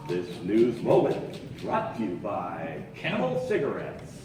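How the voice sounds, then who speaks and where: A man playing a showy, stately wartime radio host, proclaiming in a grand, measured 1940s style in a very echoey hall with music and an audience. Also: fairly low pitch, wildly swooping pitch, recorded from a distance, heavy background noise, heavily acted. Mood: smooth sales pitch. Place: on stage in an opera house, live.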